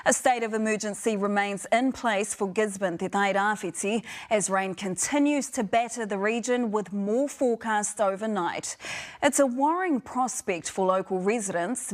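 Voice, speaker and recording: A woman with a New Zealand accent presenting television news from a script. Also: mid-range voice.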